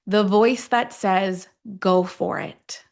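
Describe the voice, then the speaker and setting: A woman leading a guided affirmation meditation, speaking deliberately in short phrases with long pauses between them. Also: medium pitch, fairly even intonation. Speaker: guide of a self-affirmation tapping session.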